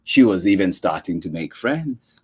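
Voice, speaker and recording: Expressive man reading a story aloud. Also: deep voice, normal speed, slight background noise. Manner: expressive, sighs and coos at the story. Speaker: storytime reader. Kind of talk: children's read-aloud with asides.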